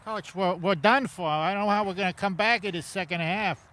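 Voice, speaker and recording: A man's voice: high voice, fairly quick, a loud crowd. A man playing a goofy, earnest football player in an improvised sketch, pleading with his coach.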